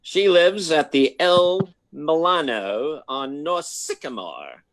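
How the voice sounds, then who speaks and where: A man playing a prim, slow-talking rich old gentleman. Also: on the high side, expressive, dry recording, exaggerated voice, poor audio. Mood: fussy, concerned. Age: older. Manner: formal, fussy, hesitant phrasing. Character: prim, wealthy old man hiring a detective. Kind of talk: old radio play acting.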